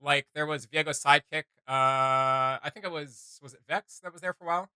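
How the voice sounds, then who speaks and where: Male reaction host, very bright forceful voice, slightly high pitch, dry close sound, casual, blunt, sarcastic. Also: a little fast, smooth, no room sound. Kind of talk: casual off-the-cuff commentary.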